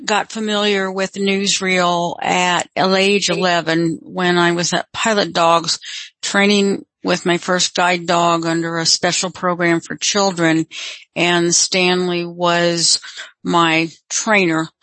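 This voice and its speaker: Bright, creaky, even-toned woman caller. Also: fairly low pitch, slow, no echo, rough recording. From United States. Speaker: caller, magazine subscriber. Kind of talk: reminiscing in casual talk.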